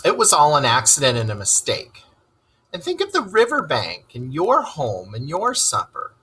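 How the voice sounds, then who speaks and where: A small, homesick mole from a storybook, crying and pleading in a very high, bright voice. The words come out halting and plaintive, broken by sobs, with lively swings of pitch. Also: male voice, slightly rough, exaggerated voice. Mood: anxious, reluctant. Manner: halting, plaintive, repeating himself. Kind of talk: storybook read-aloud character voice.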